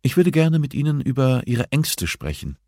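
A man voicing a composed, assertive female prison therapist in an audiobook, speaking in a measured, instructive way. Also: mid-range voice, big pitch swings, darkish tone, dry recording, studio quality, voice pushed up. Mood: businesslike. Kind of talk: audiobook character dialogue.

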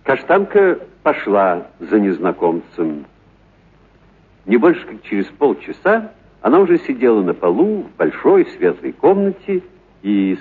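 A man reading literary prose for radio as an actor, with dramatic, deliberate pauses and stressed words. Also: mid-range voice, breathy edge. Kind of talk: expressive literary narration for radio. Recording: narrow, phone-like radio recording.

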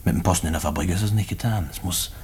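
An actor in a German radio play voicing a condemned prisoner, a working-class family man who speaks haltingly and repeats himself. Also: low voice, darkish tone, a little hiss or hum, close to an everyday voice. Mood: agitated, brooding.

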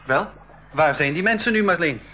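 An actor playing a curious, cheeky, wary young nephew in a school radio play. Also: male voice, fairly high pitch, lively intonation, moderate pace. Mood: curious, teasing. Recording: 1980s radio play with loud noise.

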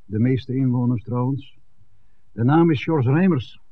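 An actor playing a middle-aged village innkeeper, courteous, guarded and inquisitive, in a 1960s Dutch radio play. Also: male voice, mid-range voice, measured pace, lightly acted. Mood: curious, guarded. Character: polite, reserved village innkeeper, a father born locally. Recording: thin, phone-like 1960s radio recording.

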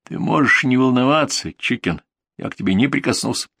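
The challenging young rival in an audiobook quarrel read by a male narrator, terse and prickly, medium-pitched, close and dry. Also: lively intonation, a little fast, gravelly, a bit bright, no echo. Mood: taken aback. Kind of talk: dramatised audiobook dialogue.

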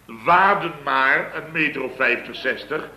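An actor playing a blustering middle-aged contractor boss who rambles through an exasperated tale, in 1960s radio comedy acting. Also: male voice, medium pitch, a little slow, sharp tone, roomy sound, poor audio. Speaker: construction firm boss.

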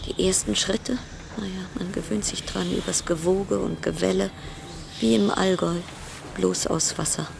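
An actress in a German radio play voicing a frayed, suspicious cruise ship passenger in a brooding monologue of broken-off, repeated phrases. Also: female voice, medium pitch, steady tone, normal speed, vocal fry, background noise. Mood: brooding, resigned. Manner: fragmented, broken-off sentences, repeating words. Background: music.

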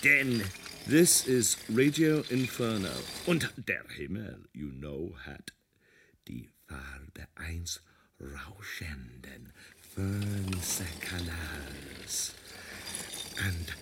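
Gravelly, slow radio inferno announcer. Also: male voice, low-pitched, background noise, exaggerated voice. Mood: brooding, halting. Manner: halting fragments, switching between German and English. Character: brooding bilingual male announcer of a radio inferno. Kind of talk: experimental radio drama monologue.

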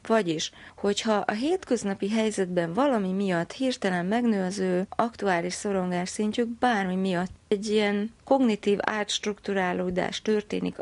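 A woman's voice: medium pitch, few pauses, darkish tone, no room sound. A woman, a research psychologist, explaining her study in an interview with careful, academic phrasing.

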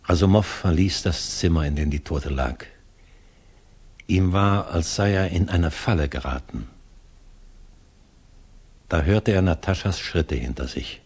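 An actor playing a grave, observant older gentleman, narrating and speaking formally in a measured 1960s German radio drama style. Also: deep voice, husky. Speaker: narrator and character.

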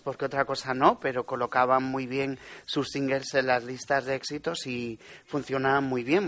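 A man's voice: mid-range voice, fairly bright tone. A man with a Spanish accent, a radio music contributor chatting casually and explaining songs and bands. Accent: Spanish from Spain. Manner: chatty, explanatory, laughs at his own pronunciation.

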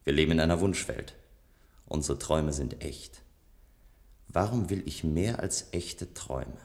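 An actor playing a restless, playful man of a future city in a German radio play, with a measured, musing delivery. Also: rumbling low.

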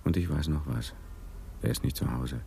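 Very deep, gravelly police sergeant. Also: male voice, normal speed, warm tone, slight background noise, exaggerated voice. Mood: wry, smitten musing. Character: wry, smitten Amsterdam police sergeant, restless inner musings. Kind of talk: radio drama acting.

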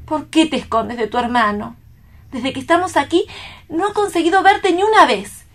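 A tender, troubled woman in a radio drama. Her high voice moves through wide swings of pitch as she pleads earnestly and expressively. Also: animated, moderate pace, a bit bright. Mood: pleading concern. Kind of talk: radio theatre acting.

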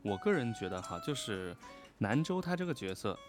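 A man co-hosting a casual livestream chat, joking and chiming in with quick agreement. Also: on the low side, big pitch swings, soft background music. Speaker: livestream co-host.

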